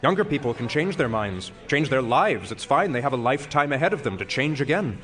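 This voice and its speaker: An actor playing a pompous, self-dramatizing retired philosophy professor in a stage comedy, speaking in wordy, self-mocking speeches. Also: male voice, medium pitch, sing-song, brisk, a bit bright, soft background music. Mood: confessional, wistful. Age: older. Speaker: actor in an audio play.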